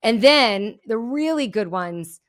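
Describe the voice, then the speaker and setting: A woman's voice: fairly high pitch, expressive, slow, a bit bright. A middle-aged woman from Germany, a native German speaker, telling her story with energy and candor as a podcast guest. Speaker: guest, keynote speaker and entrepreneur. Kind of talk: podcast interview.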